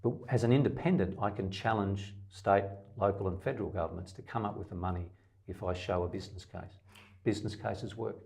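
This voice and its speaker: A man's voice: low voice, brisk, creaky, a little mellow. An Australian man, a middle-aged independent candidate, answering interview questions emphatically in long lists, with some stammered restarts.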